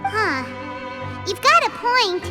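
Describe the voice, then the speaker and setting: A tiny, food-loving fairy sidekick from a video game. The voice is extremely high, squeaky and childlike, bouncing all over with chirpy energy. Music plays underneath. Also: female voice, sing-song, slow pace, full character voice. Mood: puzzled, curious. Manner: chirpy, speaks of self in third person. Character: small cheerful talkative female fairy companion, childlike, food-loving. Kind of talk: video game character acting.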